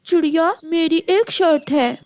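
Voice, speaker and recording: A woman's voice: very high voice, unhurried, a little mellow, no room sound, studio quality. A woman voicing a proud, fussy female fruit tree in a children's cartoon story. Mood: disdainful. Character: proud, fussy female jamun tree, choosy about suitors. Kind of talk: cartoon storybook character acting.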